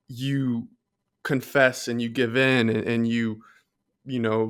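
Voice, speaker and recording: Male co-host for casual discussion podcast, clean smooth tone, little pitch movement, measured and tentative delivery. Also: a bit bright.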